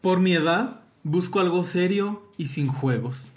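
A man's voice: sits high, lively intonation, measured pace. A man with a Mexican accent, chatting casually and playfully reading aloud as a podcast co-host.

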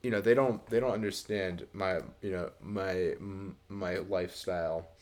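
A young American man from the Midwest co-hosting a podcast, chatting casually and comedically in a rambling, halting way with casual swearing. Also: low-pitched, fairly even intonation, a little mellow. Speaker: podcast co-host.

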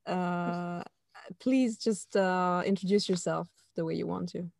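A woman's voice: on the low side, fairly even intonation, measured pace, creaky, darkish tone, no echo. Woman podcast host, close dry sound. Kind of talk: conversational interviewing.

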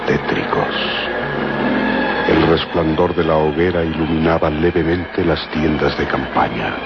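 Very deep, solemn radio narrator. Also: male voice, fairly even intonation, gravelly, exaggerated voice. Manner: solemn, literary, deliberate. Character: solemn omniscient male storyteller of an adventure serial. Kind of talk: dramatic scripted narration. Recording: muffled old radio recording. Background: loud music.

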